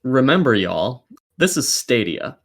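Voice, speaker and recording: A man's voice: medium pitch, very animated, measured pace. A man co-hosting a casual podcast, giving opinionated commentary in a blunt, sweary, argumentative way. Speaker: podcast co-host.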